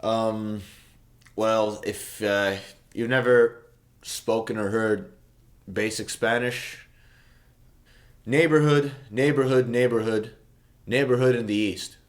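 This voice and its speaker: A young man from Saudi Arabia whose first language is Arabic talks through the story behind his song. His voice sits a little low. He wanders from point to point, corrects himself, and fills pauses with "um" and "like" in a mock-earnest tone. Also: fairly even intonation. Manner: meandering, self-correcting, many fillers, mock-earnest. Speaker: songwriter explaining his song. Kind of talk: casual rambling explanation.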